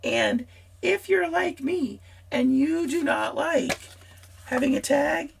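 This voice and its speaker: A woman hosting a scrapbooking vlog, hoarse from a cold and losing her voice, chatty and rambling as she talks straight to her viewers. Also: sits high, lively intonation, slow pace, slight background noise. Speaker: scrapbooking channel host. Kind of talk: casual craft supply walkthrough.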